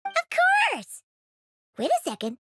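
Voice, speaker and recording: A woman voicing a small, excitable childlike fairy companion in a video game, squeaky and full of exclamations. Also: takes it slowly, exaggerated voice. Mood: excited. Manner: exclamatory, refers to herself in third person. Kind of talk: animated video game dubbing. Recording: music behind the voice.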